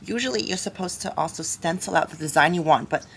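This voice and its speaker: A woman voicing a nasal suburban cartoon mom who is nervous and edgy, chatting haltingly as if hosting a craft vlog. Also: fairly low pitch, gravelly, noisy background. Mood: chatty, assertive. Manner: halting, chatty, rambling with salty asides. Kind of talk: parody craft vlog in character.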